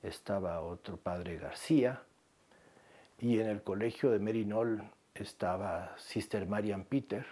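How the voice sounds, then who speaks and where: A man in his seventies with a Guatemalan accent, recalling his life in a measured interview with halting pauses. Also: fairly low pitch, dark tone, slightly rough. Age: 70s. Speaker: researcher telling his life story. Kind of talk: reflective interview narration. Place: small book-lined room indoors.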